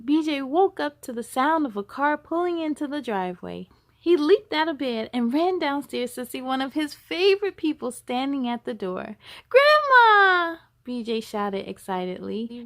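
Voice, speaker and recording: A woman reading a children's storybook aloud as a storytime host, with expressive, emphatic delivery. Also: sits high, normal speed, no room sound. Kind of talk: animated children's storybook narration.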